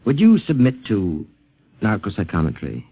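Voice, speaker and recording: An actor playing a commanding, clinical scientist in a mid-century radio drama, questioning in a measured, authoritative and deliberate way. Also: male voice, lowish voice, huge pitch swings, slightly rough, heavily acted. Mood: probing, clinical. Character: commanding male scientist, clinical and probing interrogator. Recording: tinny narrow-band vintage radio broadcast, music.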